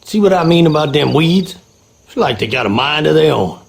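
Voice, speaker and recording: An actor playing a wary, rustic groundskeeper with an American Southern accent in a video game. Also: male voice, fairly high pitch, lively intonation, a little fast, vocal fry, noisy background. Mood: guarded, uneasy. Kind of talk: video game character acting.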